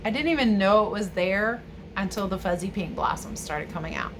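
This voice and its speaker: A woman's voice: medium pitch, expressive, fairly quick, a bit bright, a little hiss or hum. A woman reading and explaining to young viewers as a children's read-aloud teacher and host.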